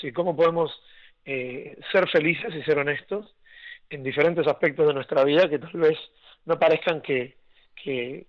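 Man, Argentine accent, slightly high voice, dry dull lo-fi sound, rambling with fillers. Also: dry recording. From Argentina and Venezuela. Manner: rambling, many restarts and fillers, laughs often. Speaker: guest. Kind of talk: casual interview storytelling.

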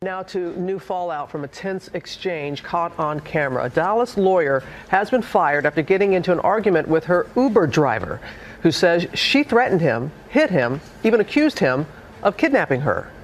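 Animated higher-pitched male news anchor. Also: normal speed, slight background noise. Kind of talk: scripted news introduction.

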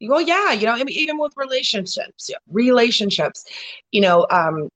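A woman's voice: on the high side, big pitch swings, moderate pace, a bit bright. A woman hosting a casual conversation, talking in a rambling way with lots of "you know" fillers and restarts.

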